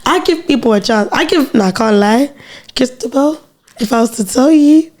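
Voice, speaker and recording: Young woman, podcast host, playful, jokey, high-pitched voice. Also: a little mellow. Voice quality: husky low-sounding voice, she says. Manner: playful, jokey, quick banter. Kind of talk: casual chat.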